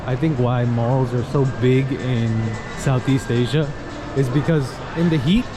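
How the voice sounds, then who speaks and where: A man's voice: mid-range voice, fairly even intonation, unhurried, music in the background, rough recording. A man from New York with an American accent, chatting casually and informally to camera as a travel vlogger, with frequent exclamations. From New York, United States. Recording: loud city ambience and traffic noise.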